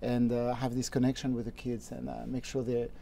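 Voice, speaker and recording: A man with a French accent talks in an easygoing way, often laughing, as a studio guest. His voice is mellow and a little dark, and it sounds close to the lapel mic on a TV set. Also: medium pitch, completely flat delivery, a little fast, vocal fry. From France. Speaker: guest musician. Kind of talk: casual interview chat.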